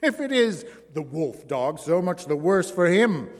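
A man's voice: on the high side, very animated, a little slow. Man doing a bellowing brawny circus strongman. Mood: contemptuous. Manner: contemptuous, bellowing. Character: boastful brawny male circus bareback rider. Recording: long hall reverb.

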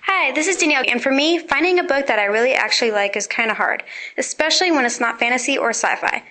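Bright, slightly high teenage girl. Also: lively intonation, fast. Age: teens. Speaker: teen book reviewer. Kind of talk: informal prepared book review.

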